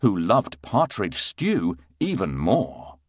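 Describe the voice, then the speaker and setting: Slow, very animated male storyteller. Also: on the low side, no room sound, clean studio sound. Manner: measured, expressive. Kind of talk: scripted children's story narration.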